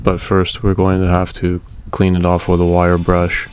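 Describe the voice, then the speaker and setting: Man, deep voice, monotone, relaxed matter-of-fact voice-over, faint ambience behind. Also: normal speed, slight background noise. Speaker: welder narrating his repair video. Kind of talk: casual voice-over narration.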